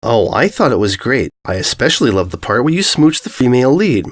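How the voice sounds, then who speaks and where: A man's voice: medium pitch, very animated, a little fast. Man voicing a flustered, jealous young mare pony. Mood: bitterly sarcastic. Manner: stammering, sarcastic.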